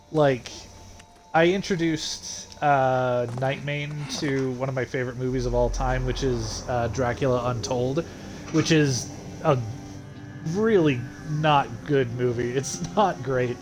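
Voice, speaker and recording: A man's voice: medium pitch, takes it slowly, music in the background. A male streamer chatting casually over gameplay, swearing freely and laughing often.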